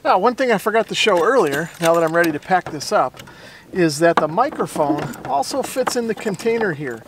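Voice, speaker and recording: A man's voice: high voice, animated, a little fast, noisy background. A middle-aged American man hosting a hobby how-to, talking in an easygoing, self-deprecating, casual way. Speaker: host of a radio hobby channel.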